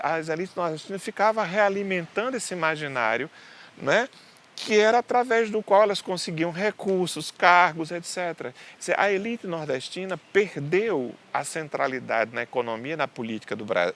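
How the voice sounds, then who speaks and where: A man's voice: fairly high pitch, fairly bright tone. A man from Northeast Brazil, interviewed for a documentary, explains in a lecturing way and keeps asking rhetorical questions. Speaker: interviewed researcher.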